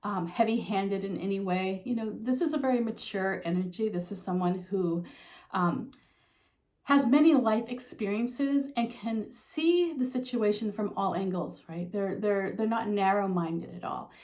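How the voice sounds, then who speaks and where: A middle-aged woman giving a relaxed, talking tarot reading to her viewers, her voice a little froggy from a slight sore throat, with frequent ums and you-knows. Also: mid-range voice, unhurried. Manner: soft conversational, with frequent um and you know. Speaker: tarot reader.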